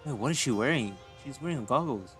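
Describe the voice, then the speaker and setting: A man's voice: medium pitch, animated, brisk. A man live streaming casual gaming reactions, informal and often muttering to himself. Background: game music.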